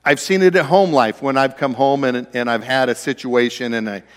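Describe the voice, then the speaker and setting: A man preaching a sermon, conversational and self-deprecating, with a naturally loud voice. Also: mid-range voice, moderate pace, in a large hall. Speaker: pastor.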